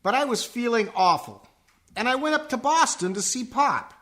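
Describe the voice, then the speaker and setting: An actor playing an earnest, aggrieved young musician son in a comic radio play, with melodramatic delivery. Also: male voice, very high voice, lively intonation, normal speed, bright, forceful tone, full character voice.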